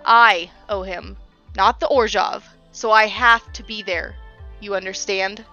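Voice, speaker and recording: A woman's voice: mid-range voice, steady tone, a little slow, pushed and bright, highs cut off, soft background music. A woman playing a stubborn, breezy guildmaster and fighter in a fantasy audio drama, talking in a casual, flippant way. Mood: firm, casual.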